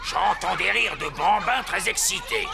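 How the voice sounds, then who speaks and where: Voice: medium pitch, expressive, unhurried, vocal fry, long reverb tail, very noisy. An actor playing a boisterous, bombastic pirate sailor with theatrical exclamations and flowery endearments in a French cartoon. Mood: grave, dramatic. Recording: loud music behind the voice.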